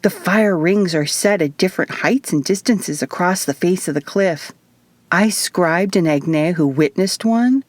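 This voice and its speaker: Woman acting mischievous, excitable young hybrid girl. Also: on the low side, unhurried, dry recording. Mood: eager, amused.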